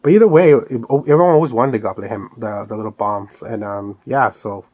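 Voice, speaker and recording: A man's voice: lowish voice, fairly quick, no room sound, lo-fi sound. A man giving a casual, rambling product review as an enthusiastic fan of collectibles. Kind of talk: casual unboxing review vlog.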